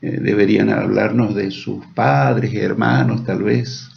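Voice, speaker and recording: Man, church program host, very gravelly, breathy rasp, slow deliberate questions, muffled lo-fi sound. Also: fairly low pitch, fairly even intonation. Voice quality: gravelly, husky voice. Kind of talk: friendly church radio interview. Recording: dull lo-fi sound.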